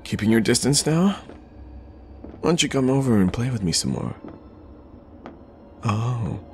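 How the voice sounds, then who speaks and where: Man playing a slow-talking, cocky flirtatious supervillain. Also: mid-range voice, animated, a little mellow, a little hiss or hum, close to an everyday voice. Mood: cocky, playful. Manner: teasing, smug, chuckling banter. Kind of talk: ASMR roleplay acting.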